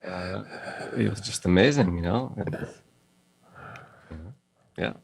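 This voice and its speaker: A middle-aged man from Moldova with a mixed Russian and Israeli accent, talking haltingly in a casual interview, with many fillers and restarts. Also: sits low, creaky, darkish tone, breathy edge, dry recording, low-quality recording. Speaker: guest, audio company founder.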